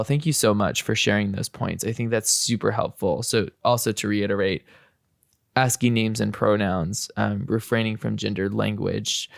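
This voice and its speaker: A man's voice: fairly low pitch, gravelly, dry recording, studio quality. A man hosting a podcast, chatting casually and hedging his points with fillers and restarts. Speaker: podcast host. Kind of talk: casual interview chat.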